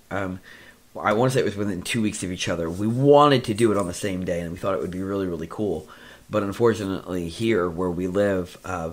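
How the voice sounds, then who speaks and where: Low, scratchy-voiced man chatting. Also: fairly bright tone. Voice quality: scratchy, deeper voice. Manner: rambling, repeats himself, self-deprecating. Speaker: podcast host. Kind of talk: casual personal update. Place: indoors in an office.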